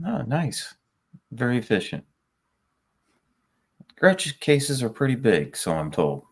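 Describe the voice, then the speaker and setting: A man's voice: mid-range voice, sing-song, takes it slowly, breathy edge. A man chatting casually as a show guest, speaking in short, dry remarks. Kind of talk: casual chat.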